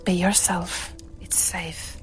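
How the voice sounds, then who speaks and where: A woman's voice: fairly low pitch, little pitch movement, slow, in a whisper, soft background music, rough recording. A woman playing a worldly, protective adventurer, affectionate and self-assured, in a reassuring, familiar manner. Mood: quietly reassuring.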